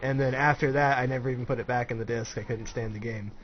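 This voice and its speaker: A man's voice: mid-range voice, steady tone, fast. A man in his twenties hosting a casual chat, asking easygoing questions. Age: 20s. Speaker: host, interviewer. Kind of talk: casual chat over gameplay commentary. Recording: dull lo-fi voice-chat audio with clear background noise.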